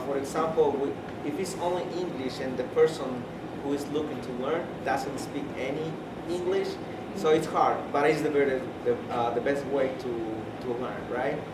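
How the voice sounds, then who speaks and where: A man's voice: medium pitch, lively intonation, moderate pace, few pauses. A man hesitantly at a community meeting, searching for words with many fillers. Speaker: community member. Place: community center room. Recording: echoey room, distant mic, loud background hum.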